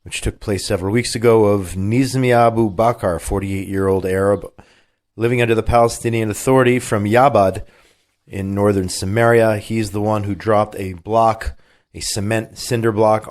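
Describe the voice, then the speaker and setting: Low-voiced man hosting talk radio. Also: darkish tone. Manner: conversational, opinionated, with many fillers and restarts. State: has a cold. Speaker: radio show host. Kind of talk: casual talk radio hosting and news reading.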